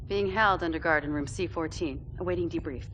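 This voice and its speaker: A woman's voice: sits low, a little fast, a bit bright, a little hiss or hum. A woman playing a dutiful soldier giving a businesslike military report in a game cutscene.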